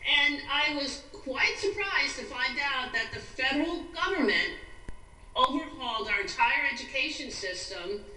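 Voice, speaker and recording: Female activist guest, American, bright forceful voice, emphatic delivery, heard from off the mic on an echoey internet call. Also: sits high, animated, a little slow. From New York State, USA. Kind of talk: earnest advocacy interview. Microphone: video call. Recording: roomy, distant, noisy internet video call.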